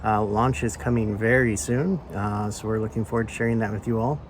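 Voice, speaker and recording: A man's voice: on the low side, little pitch movement, a little fast. Man vlogging over noticeable background noise. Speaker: vlog host. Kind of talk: casual DIY boat vlog narration. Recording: clearly noisy ambient background.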